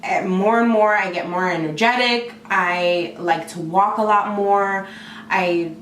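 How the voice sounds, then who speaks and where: Hoarse, chatty woman in an echoey room. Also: medium pitch, a little slow, recorded from a distance, a little hiss or hum. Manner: chatty, excitable, many fillers. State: hoarse from a cold. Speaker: vlogger. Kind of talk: casual talk to camera.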